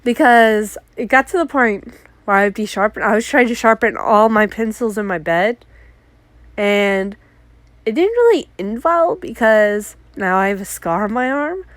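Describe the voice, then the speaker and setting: A congested woman telling casual, animated stories about her art mishaps as a vlogger. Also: medium pitch, measured pace, dry recording. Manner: chatty, animated, acts out her own exclamations. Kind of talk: casual story time.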